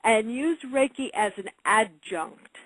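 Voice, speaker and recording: A woman's voice: a little high, takes it slowly, no echo, poor audio. Earnest woman Reiki practitioner. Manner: earnest, stresses points firmly. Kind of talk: radio interview talk.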